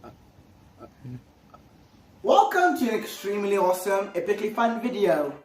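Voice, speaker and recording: A woman's voice: medium pitch, big pitch swings, normal speed, darkish tone, distant mic. Female video host, rambling and exclamatory, recorded off-mic in an echoey room with music underneath. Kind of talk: casual opinionated video commentary.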